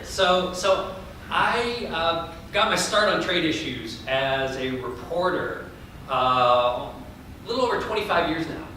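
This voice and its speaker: Bright-voiced man lecturing in an echoey room. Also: medium pitch, far from the mic, a little hiss or hum. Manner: conversational, loud, projects his voice. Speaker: guest speaker. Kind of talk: public lecture. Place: small event room. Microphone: handheld mic held away, then off.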